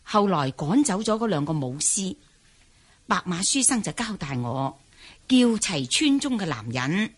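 A husky, fairly low voice for a talkative village tea-stall mother in a radio play. She is folksy, polite and deferential, speaking in a middle-aged tone. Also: big pitch swings, a little slow, heavily acted. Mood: gossipy. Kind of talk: Cantonese radio drama acting.

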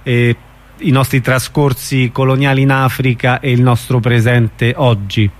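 A man's voice: medium pitch, flat delivery, measured pace. A man hosting an informal live radio interview, asking questions conversationally.

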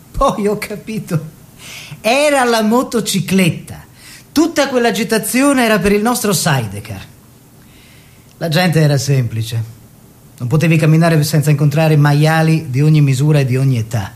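An actor playing an eager young bourgeois painter in a theatrical monologue, expressive and savouring short phrases. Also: male voice, fairly high pitch, moderate pace, close to an everyday voice. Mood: wondering.

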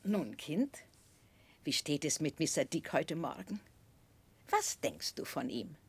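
Casting: blunt, stern older aunt, lowish voice, highly expressive, emphatic radio-play delivery, dry studio sound. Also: female voice, slow, husky, full character voice. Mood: brisk, probing. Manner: emphatic, commanding, clipped. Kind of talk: 1960s German radio drama acting.

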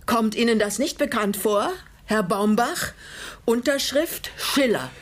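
An actress playing a bitter, vengeful widow in a radio crime comedy, controlled, accusing and sardonic. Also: female voice, fairly high pitch, measured pace. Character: bitter, vengeful widow holding men at gunpoint, sardonic and resolute.

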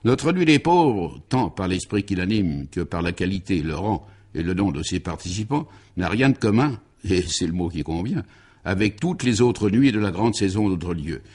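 A man's voice: sits low, big pitch swings, normal speed, a little mellow, breathy edge, full character voice. Man playing a pompous, declaiming baron, voice raised. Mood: grandiose. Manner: declamatory, grandiloquent speechmaking. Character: pompous aristocratic baron addressing a charity gala.

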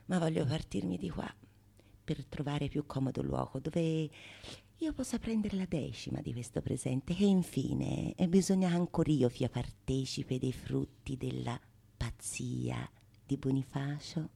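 A woman's voice: sits low, measured pace, creaky, dark tone, breathy edge, heavily acted. A woman playing a scornful, crafty go-between in a theatrical radio monologue, with a dry, sardonic manner. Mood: scheming. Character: scornful, crafty go-between mocking a lover's verses.

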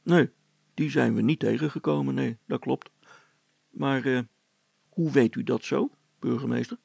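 Inventor for a storybook, played by a male reader: good-natured but wary, husky, plain and hesitant in speech. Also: medium pitch, unhurried, a little mellow, no room sound, exaggerated voice. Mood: puzzled.

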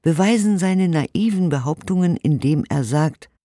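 A man's voice: on the high side, expressive, normal speed, warm tone, no echo, lightly acted. A male actor playing a disapproving, pompous and pious bourgeois critic, reading out his verdict in a radio play. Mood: stern disapproval.

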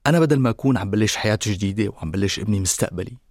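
A man with a Levantine Arabic accent and a gravelly, husky voice narrating as a weary man in a radio drama. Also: lowish voice, lively intonation, racing, dry recording, studio quality. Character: weary man recounting his troubles.

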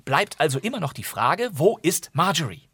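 A man's voice: a little high, big pitch swings, normal speed, a bit bright, slightly rough, no room sound. A man presenting and narrating in a chatty, comic, mock-dramatic way, as if retelling a story to listeners.

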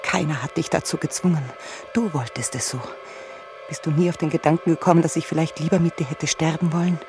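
Low, anguished grown daughter. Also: female voice, fairly even intonation, a little fast. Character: anguished, accusing grown daughter, bitter and defensive. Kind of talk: German radio play acting. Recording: music behind the voice. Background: music.

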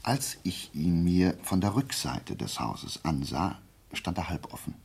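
A man acting in a radio play as a shrewd detective, explaining his deductions deliberately and didactically in a low, gravelly, husky voice. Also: big pitch swings, darkish tone, heavily acted. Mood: measured, precise. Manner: deliberate, didactic, checking in with short questions. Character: shrewd amateur detective methodically explaining a murder case.

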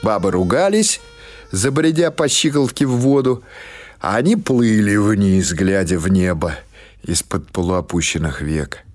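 A man narrates a piece of literary fiction in a low-pitched voice. His delivery is scripted, measured and expressive, in the manner of an audiobook storyteller. Faint music plays behind him.

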